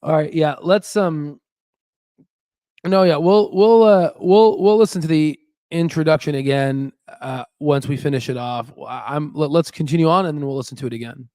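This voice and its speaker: A man hosting a stock channel, reacting to an interview clip with excitable, stammering disbelief. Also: on the high side, moderate pace, no room sound, studio quality. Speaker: channel host reacting to a video. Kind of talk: live reaction commentary.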